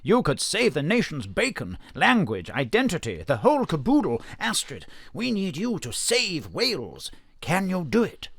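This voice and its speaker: An actor playing a brisk male spymaster boss who rattles off stock phrases in a remote audio drama. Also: sits high, huge pitch swings, creaky, a bit bright, no echo, studio quality, exaggerated voice. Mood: pleading.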